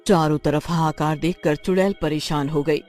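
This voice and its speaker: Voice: mid-range voice, fairly even intonation, normal speed, darkish tone, soft background music. A narrator telling a scripted story in a dramatic storytelling manner.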